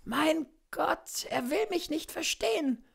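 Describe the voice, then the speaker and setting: A dying gentlewoman from a storybook, acted out by a man, pious and reproachful. The voice is raised high, plaintive and fervent, drawn out as she laments. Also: expressive, slow, creaky, exaggerated voice. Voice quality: weak, plaintive.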